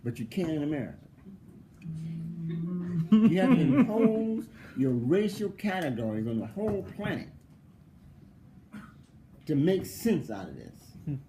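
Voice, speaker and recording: Male professor, lively swooping delivery, teasing rhetorical questions, emphatic repetition. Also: a little high, unhurried, pauses often, a crowd in the background. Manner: animated, mocking rhetorical questions, frequent laughter; emphatic, repeats points for stress. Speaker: philosophy professor. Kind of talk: conversational interview answer.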